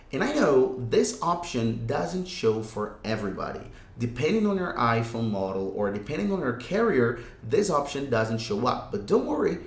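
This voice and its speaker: A man's voice: mid-range voice, darkish tone. A man presenting a casual step-by-step tech tutorial in an upbeat, emphatic and reassuring manner, often repeating himself for clarity. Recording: roomy, echoing room sound.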